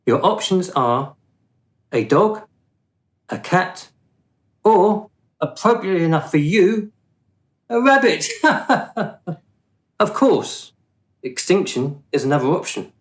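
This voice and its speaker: A man acts a smug, officious bureaucrat of the afterlife in a radio play. He talks slowly and deliberately, condescending and drily mocking, with an expressive, swooping pitch. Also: exaggerated voice.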